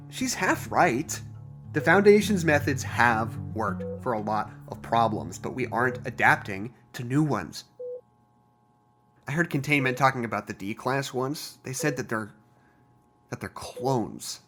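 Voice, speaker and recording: Soft guitar music sits behind a mid-pitched man playing an earnest, lovelorn scientist in an audio drama. He rambles and confides haltingly, resentful and searching for words. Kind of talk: audio drama monologue, naturalistic.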